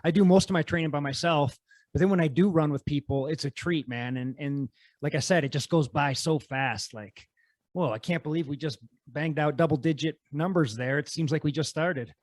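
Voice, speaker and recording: Chill podcast host guy, bit high voice, dry close mic, says man a lot. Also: normal speed, dry recording. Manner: laid-back, enthusiastic, says "man" often. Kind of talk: casual conversation.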